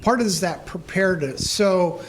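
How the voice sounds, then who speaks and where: A man's voice: high-pitched, normal speed, a little hiss or hum. A man answering audience questions on a panel as an emergency preparedness trainer, explaining at length with many restarts.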